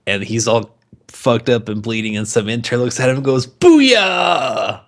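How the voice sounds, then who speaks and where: A man's voice: mid-range voice, lively intonation, unhurried. A man co-hosting a podcast in casual banter, joking and ranting with plenty of swearing. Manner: profane, jokey ranting. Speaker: podcast co-host.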